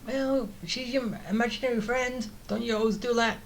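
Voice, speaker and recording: A woman's voice: fairly high pitch, steady tone, moderate pace, slight background noise. A woman giving casual let's play commentary, musing to herself with a hesitant delivery. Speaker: gaming streamer. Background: game music.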